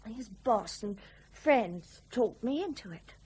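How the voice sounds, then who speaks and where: A high, swooping voice of a scornful wife in a radio play. She sounds trapped and bitter, with a husky edge and an emotional, dramatic delivery. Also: expressive, slow, darkish tone, heavily acted, poor audio. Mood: resentful, defiant.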